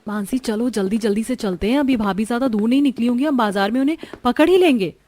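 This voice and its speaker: A woman's voice: a little high, fast, warm tone. A woman voicing characters in a cartoon family story in an earnest, pleading tone. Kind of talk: cartoon dialogue acting.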